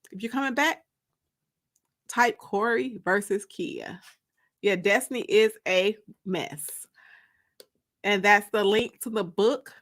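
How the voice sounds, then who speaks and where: A woman with an American accent and a bright, forward, fairly high voice hosts a casual livestream. She talks to her viewers in loose, colloquial language, with a playful and teasing manner. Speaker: livestream host and author.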